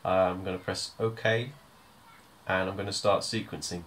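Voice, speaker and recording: A man giving a hands-on music software tutorial, explaining step by step in an informal, hesitant way. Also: deep voice, fairly even intonation, normal speed.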